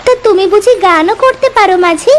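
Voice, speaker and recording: A woman playing a timid, curious young village girl in an animated story, with a girlish, friendly manner. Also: extremely high pitch, a little fast, slight background noise. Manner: girlish, inquisitive. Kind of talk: animated story character acting.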